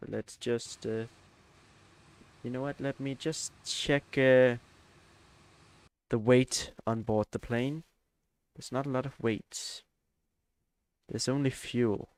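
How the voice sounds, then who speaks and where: A man's voice: medium pitch, fairly even intonation, slow pace, pauses often, a little mellow, smooth, no echo. A man from Denmark, chatting hesitantly with many fillers while streaming a flight simulator. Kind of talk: live stream gameplay chat.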